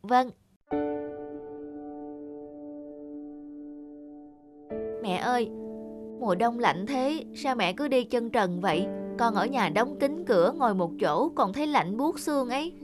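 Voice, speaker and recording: Lady doing a polite earnest teen student in a cartoon, highish young voice, music behind. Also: animated, moderate pace, natural voice. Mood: concerned. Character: devoted, earnest teenage student child of a poor mother. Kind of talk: animated moral tale acting.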